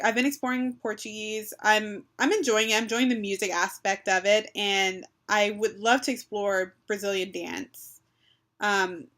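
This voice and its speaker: A woman's voice: mid-range voice, a bit bright. Chatty young woman vlogging from her bedroom. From Texas, US. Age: 20s. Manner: chatty, frequent "um" and "like", mixes in Spanish phrases. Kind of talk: casual talk to webcam. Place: small bedroom, indoors. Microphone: built-in webcam mic, close.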